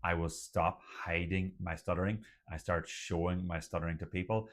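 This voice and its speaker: A young man, a doctor who stutters, talking to camera about his own stuttering in a measured, deliberate way with occasional stutters. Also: low voice, fairly even intonation. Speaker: doctor and community host. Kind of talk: personal talk to camera.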